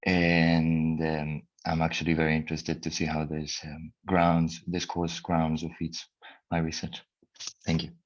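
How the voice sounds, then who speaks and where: Very deep flat-voiced man. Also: muffled recording. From Spain. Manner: calm, slightly halting. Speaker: researcher, seminar participant.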